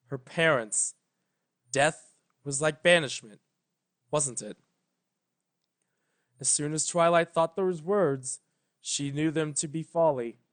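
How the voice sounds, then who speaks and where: A male narrator with a fairly high voice reads a story at a very slow pace. His delivery is solemn and deliberate, broken by dramatic pauses. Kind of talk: scripted fan fiction narration.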